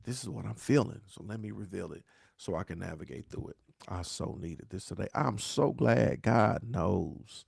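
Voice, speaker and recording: Gravelly, preachy pastor with dialect grammar. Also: male voice, sits low, expressive, moderate pace, a little mellow, dry recording, studio quality. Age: 40s. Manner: preachy, repeats rhymes and asks "right?" often; dialect grammar, says "y'all". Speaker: pastor hosting a grief talk show. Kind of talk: live-stream talk answering viewer questions.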